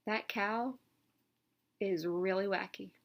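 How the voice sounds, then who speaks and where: A woman teacher reading a picture book aloud to children, expressive and emphatic, with casual asides to her listeners. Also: medium pitch, takes it slowly.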